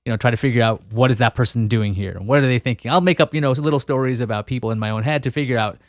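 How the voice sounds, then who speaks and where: A man's voice: medium pitch, racing, no room sound, studio quality. A man hosting a podcast interview, musing and thinking aloud with frequent restarts. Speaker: podcast host. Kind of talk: casual interview conversation.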